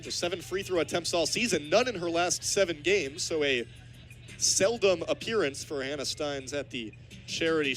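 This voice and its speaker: A man's voice: on the high side, fairly bright tone, low-quality recording. A man in his twenties calling live basketball play-by-play on the radio. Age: 20s.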